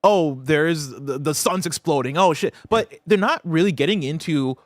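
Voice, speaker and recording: A man's voice: on the high side, normal speed, no room sound, clean studio sound. A man hosting a games news show, talking in a casual, analytical, conversational way. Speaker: podcast host.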